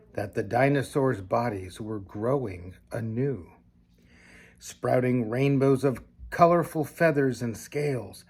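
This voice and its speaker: An older man reads a children's story aloud in a gravelly, husky voice. He takes his time like a grandfather at bedtime, shaping the rhymes with expressive, deliberate phrasing and slipping in casual asides. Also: medium pitch.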